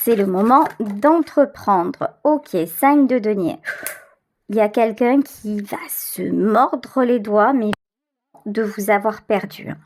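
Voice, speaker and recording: Slow-paced woman reading tarot. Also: medium pitch, a little hiss or hum. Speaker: tarot reader, channel host. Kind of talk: intuitive tarot reading addressed to the listener.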